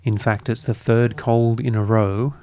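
A man hosting a casual podcast, croaky from a recent cold, with a dry, self-mocking humour. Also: lowish voice, level tone, a little slow, a little mellow. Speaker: podcast host.

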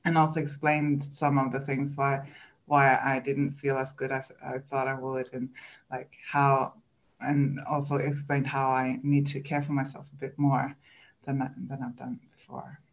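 A woman from Sweden with a Swedish accent, speaking English as a second language as a podcast guest. She talks reflectively and haltingly, with restarts and small laughs. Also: very deep voice, flat delivery, low-quality recording. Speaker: guest, coach. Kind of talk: interview.

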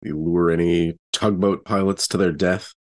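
A man's voice: deep voice, steady tone, normal speed. A man playing a tabletop game, making casual, joking asides about his character.

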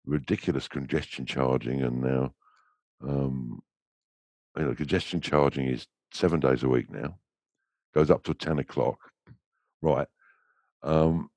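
English male musician, very deep, gravelly voice, rambling anecdotal chat. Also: darkish tone, no echo. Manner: rambling, anecdotal, many restarts. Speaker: interview guest, musician. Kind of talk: casual chat.

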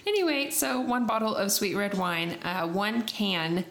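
A woman's voice: mid-range voice, a little slow, vocal fry, a bit bright. A young woman co-hosting a podcast, sniffly from allergies, chatting in a rambling way with fillers. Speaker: podcast co-host. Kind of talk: casual chat.

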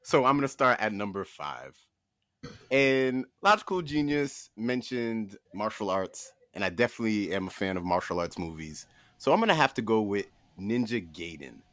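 A man talking casually and comedically as a podcast guest, pitching a list with an emphatic, playful showman delivery. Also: fairly bright tone, dry recording.

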